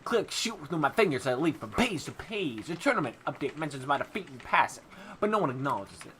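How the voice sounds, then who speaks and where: Bright-voiced young man playing and narrating a game. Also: a little high, big pitch swings, fast, slight background noise. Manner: joking, bantering. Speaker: let's play host. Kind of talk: casual gaming commentary, reading game text aloud. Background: background music.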